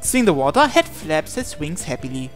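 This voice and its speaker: Man, slightly high voice, let's play narrator reading game text with asides, faint music behind. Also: sing-song, moderate pace. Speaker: gamer reading a visual novel aloud.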